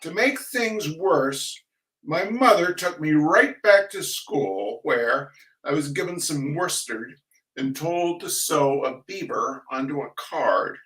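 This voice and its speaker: An older man hosting a reading of humorous essays, chatting casually and reading aloud in a wry, theatrical, halting manner. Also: fairly high pitch, expressive, fairly bright tone. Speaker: host of a weekly humor reading. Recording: roomy echo, distant microphone.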